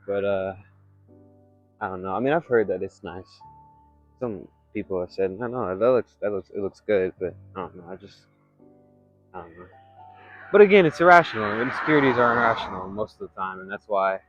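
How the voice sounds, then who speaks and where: A young man with a congested, nasal voice talking candidly in a vlog, halting and rambling with many fillers and restarts. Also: lowish voice, lively intonation, no room sound, lo-fi sound, soft background music. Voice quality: congested, nasal from blocked nose; soft, not loud. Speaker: vlogger. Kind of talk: candid personal vlog monologue.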